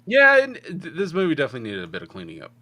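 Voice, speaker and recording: Male co-host, bright forceful voice, medium pitch, conversational film talk, dry recording. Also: huge pitch swings, rapid-fire, no room sound. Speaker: podcast co-host. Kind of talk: casual film review podcast chat.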